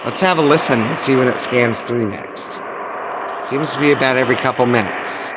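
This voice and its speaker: A man's voice: medium pitch, normal speed. A man chatting informally to viewers as host of a live radio-hobby stream. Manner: informal, addressing viewers as guys. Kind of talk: casual livestream chat. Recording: loud radio static behind the voice.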